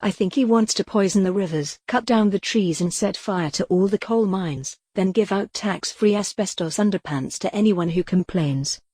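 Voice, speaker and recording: A synthetic female text-to-speech voice playing a calm, wry retro computer who thinks she's a cat, deadpan in an audio comedy drama. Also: mid-range voice, moderate pace, hardly any pauses, breathy edge, no room sound, clean studio sound, close to an everyday voice.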